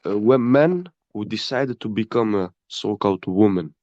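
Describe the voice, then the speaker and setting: A man's voice: on the low side, animated, a little mellow, dry recording. Blunt guy stumbling over words, dry muffled audio. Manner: halting, repeats words, blunt and dismissive. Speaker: stranger in an online debate. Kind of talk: heated argumentative debate.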